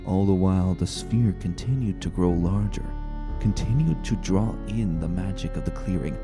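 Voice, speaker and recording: A man reading a story as dramatic scripted narration, measured, deliberate and suspenseful. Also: deep voice. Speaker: narrator of a fan fiction reading. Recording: roomy voice, faint music bed. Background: faint music.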